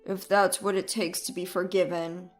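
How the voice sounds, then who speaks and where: A woman's voice: fairly low pitch, flat delivery, moderate pace, faint music behind, pitched below the performer's own voice, natural voice. A woman voicing an evasive, defensive cheating husband in a dramatized audio story, with an exaggerated manner. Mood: resigned. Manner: exaggerated, pleading or dismissive.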